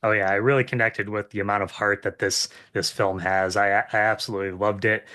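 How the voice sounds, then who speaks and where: A man's voice: on the low side, level tone, a little fast, bright, forceful tone. A man interviewing two actors, asking friendly, enthusiastic questions.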